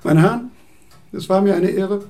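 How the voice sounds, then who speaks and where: A man's voice: sits high, normal speed, a little mellow, lightly acted. An actor playing a pedantic, brooding male philosopher in a German radio drama, speaking formally and meticulously. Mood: fussy, formal. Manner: formal, meticulous, ornate phrasing. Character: pedantic, brooding philosopher Kant, male, precise and self-absorbed.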